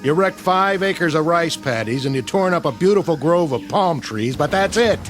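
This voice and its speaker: An actor playing a battle-weary, sarcastic American army sergeant in a radio drama, with gruff slangy talk. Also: male voice, on the high side, expressive, normal speed, soft background music, natural voice. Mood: sarcastic, angry.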